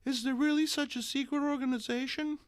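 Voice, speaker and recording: A man voicing a breathless, excitable young woman in a dramatic comic book reading. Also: very high-pitched, fairly quick, no room sound, studio quality, pitched above the performer's own voice. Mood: breathless excitement.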